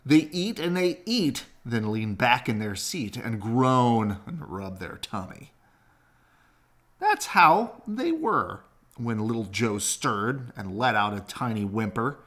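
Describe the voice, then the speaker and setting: Man, expressive picture book narration, unhurried pace, widely swinging pitch. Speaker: read-aloud storyteller.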